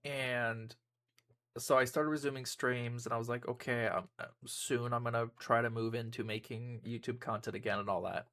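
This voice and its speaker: A man's voice: mid-range voice, little pitch movement, moderate pace, a bit bright. A young man, a YouTuber and streamer, giving a casual personal update to his viewers, rambling with frequent ums and restarts.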